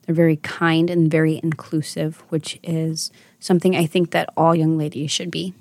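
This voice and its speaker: A woman's voice: sits low, little pitch movement, normal speed, a little mellow, no echo. A woman in her thirties hosting a casual chat with her daughter, affectionate and motherly, laughing often. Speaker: podcast host and mother.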